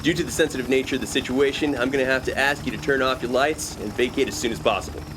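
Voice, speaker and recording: An actor playing a stern American Air Force captain, clipped and authoritative, in an audio drama. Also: male voice, mid-range voice, fairly even intonation, quick pace, noisy background, natural voice. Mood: smooth, official. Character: stern male Air Force captain, commanding and coolly intimidating.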